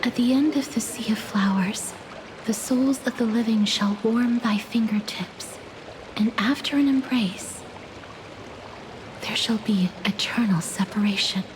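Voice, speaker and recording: A woman voicing a gentle, melancholy young woman in a video game, with soft, breathy, solemn and formal delivery. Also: on the high side, background noise, lightly acted. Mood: sorrowful, resigned. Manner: measured, solemn, formal phrasing. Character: gentle, melancholy young woman resigned to fate, touched by death. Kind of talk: English video game voice acting, dramatic monologue and dialogue. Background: game music.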